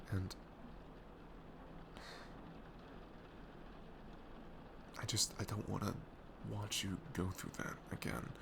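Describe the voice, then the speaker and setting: A man playing a tender, nervous best friend in an intimate ASMR roleplay, speaking softly and hesitantly in a low, gravelly voice close to the mic. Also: background noise. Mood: nervous, earnest. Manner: hesitant, stammering, soft-spoken.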